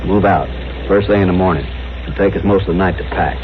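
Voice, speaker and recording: An actor playing a suspicious, hot-tempered frontier horse thief, gruff and curt, in a radio western. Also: male voice, low voice, fast, lightly acted. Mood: hard, angry. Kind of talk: old-time radio western acting. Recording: very noisy, tinny vintage radio recording.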